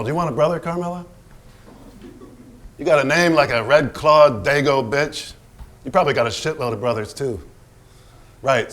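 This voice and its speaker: A man's voice: medium pitch, fairly bright tone, lightly acted. A man acting a brash, insecure, foul-mouthed American male painter in a theatrical staged reading. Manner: swaggering, needling, repetitive, profane. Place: staged reading in a barn venue.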